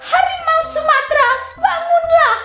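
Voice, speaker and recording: A woman voicing an excitable, frantic male rooster in a storybook tale, squealing and crowing. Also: unhurried. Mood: alarmed. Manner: squeals and crows, shrill and shouty. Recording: roomy echo, faint music.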